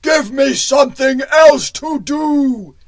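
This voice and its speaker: A woman voicing a hulking stone golem servant in a storybook reading, with a loud, slow, insistent growl. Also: high voice, gravelly, no room sound, pitched above the performer's own voice, full character voice.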